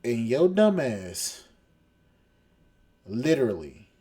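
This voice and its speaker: A man's voice: medium pitch, big pitch swings, takes it slowly, husky. A man hosting a podcast, reacting to a dating show clip with crude, mocking commentary. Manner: mocking, crude, loud laughing outbursts.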